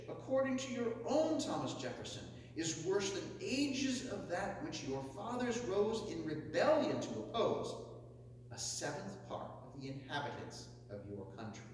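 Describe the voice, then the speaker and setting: A man reading a historic speech aloud as a declamatory oration, building each list to forceful, emphatic peaks. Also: sits high, big pitch swings, moderate pace. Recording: echoey hall, mic far off, faint hum.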